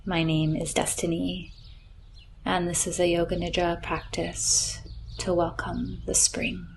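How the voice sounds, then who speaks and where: Slow, creaky-voiced woman guiding meditation. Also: lowish voice, level tone, a little hiss or hum. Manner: soothing, unhurried, gentle, often breathy. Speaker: yoga nidra meditation guide. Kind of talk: slow guided relaxation meditation. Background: chirping birds, nature ambience.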